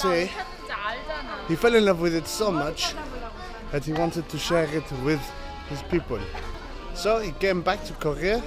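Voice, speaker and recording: A man talking to the camera in a casual travel vlog, chatty and playful. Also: sits high. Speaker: travel vlogger.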